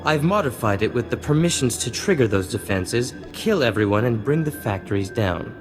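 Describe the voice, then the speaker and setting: An actor playing a suave, boastful and flirtatious hacker in a video game, smooth-talking and theatrical. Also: male voice, medium pitch, animated, normal speed, music in the background, natural voice. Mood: self-assured. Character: suave, boastful male slicer, flirtatious and clever. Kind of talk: video game dialogue acting.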